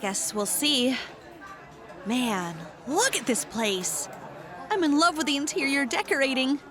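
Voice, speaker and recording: A woman playing an excitable, curious young sidekick in an audio drama, with an enthusiastic, casual way of talking. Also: high voice, measured pace, music in the background. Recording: busy restaurant crowd behind.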